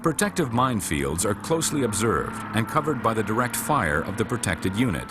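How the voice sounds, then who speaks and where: A man reading scripted instructional narration for a military training film in a measured, formal, matter-of-fact way. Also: fairly low pitch, big pitch swings, noisy background.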